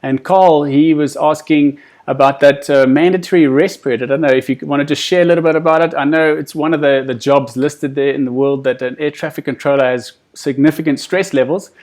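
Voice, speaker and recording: A man's voice: on the high side, fairly even intonation, a little fast, few pauses, darkish tone. A man hosting an interview, asking long, encouraging questions in a conversational style. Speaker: interview host.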